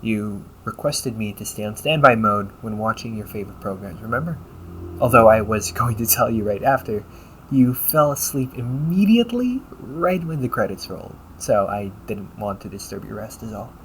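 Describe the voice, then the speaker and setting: A man acts out a male AI companion with a fairly low voice. He is polite and formal, with a caring, gently teasing way of addressing the listener in an intimate audio roleplay. Some noise sits behind the voice. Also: animated, moderate pace, low-quality recording. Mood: helpful, attentive. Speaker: voice actor. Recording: noticeable background noise.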